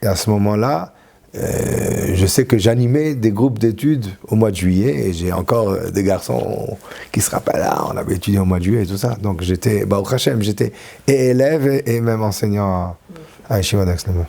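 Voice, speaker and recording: Older French rabbi, 60s, dark gravelly tone, hesitant reminiscing interview delivery. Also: male voice, fairly low pitch. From France. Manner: halting, with many fillers and restarts.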